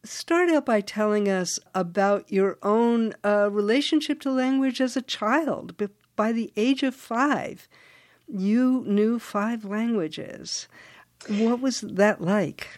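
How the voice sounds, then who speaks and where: An older woman interviewing an author, speaking slowly and searching for her words as she asks questions. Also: fairly high pitch, dry recording, studio quality. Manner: slow, warm, searching for words.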